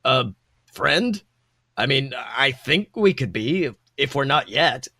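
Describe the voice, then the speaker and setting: A man voicing an earnest, gentle, sheepish man with a hesitant, plain-spoken manner, in a fan fiction reading. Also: medium pitch, animated, slow, husky, no echo, studio quality, heavily acted. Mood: apologetic, hesitant. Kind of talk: fan fiction read aloud with character voices.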